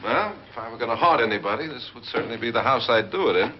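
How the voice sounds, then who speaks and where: A brisk, confident male guest played by an actor in a vintage horror film. He talks fast in a mid-pitched voice with lively swings, on dull old film sound. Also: slight background noise, lightly acted. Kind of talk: 1950s horror film acting.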